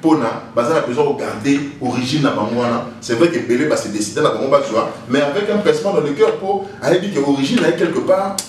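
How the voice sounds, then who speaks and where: A man in a TV studio discussion, animated, repeating key phrases and switching into Lingala. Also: on the high side, quick pace, few pauses. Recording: echoey, distant mic with faint noise.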